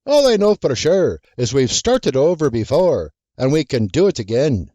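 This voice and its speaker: A narrator voicing a steady, faithful young farmhand who speaks in an earnest, mild manner. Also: male voice, a little high, normal speed, no echo.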